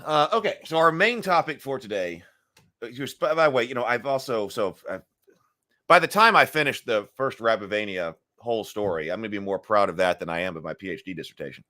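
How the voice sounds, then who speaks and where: A middle-aged man hosting a casual morning live stream, his voice gravelly today, clearing his throat often and thinking aloud with fillers. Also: on the low side, animated, brisk, bright, forceful tone.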